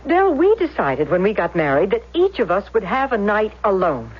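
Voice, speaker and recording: Husky, troubled wife. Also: female voice, medium pitch, very animated, moderate pace. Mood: tense, accusing. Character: troubled, suspicious wife confronting her husband. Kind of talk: 1970s radio drama acting. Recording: muffled old radio recording.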